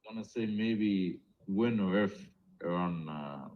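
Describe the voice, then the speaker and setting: Deep-voiced man with a slight Arabic accent. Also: slow pace. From Egyptian, raised in the Gulf. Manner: hesitant, stammering restarts. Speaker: co-host. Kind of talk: casual chat. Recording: dull lo-fi audio.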